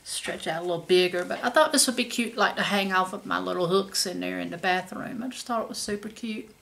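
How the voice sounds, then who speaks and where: Lady, 50s, bright chatty haul vlog talk, says y'all a lot. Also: fairly low pitch, a little fast, gravelly, low-quality recording. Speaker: haul vlogger. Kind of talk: casual haul chat to camera. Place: home kitchen indoors.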